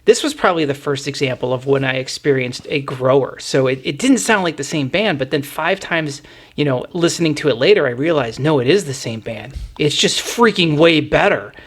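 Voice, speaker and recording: A man's voice: a little high, fairly quick. Man hosting a casual music discussion. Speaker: music podcast host.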